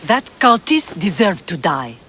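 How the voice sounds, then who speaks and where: A woman's voice: fairly low pitch, very animated, a little slow, fairly bright tone. An actress playing a proud, steely middle-aged Spartan mother in a video game, firm and composed. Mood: stern. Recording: game cutscene with music behind. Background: music.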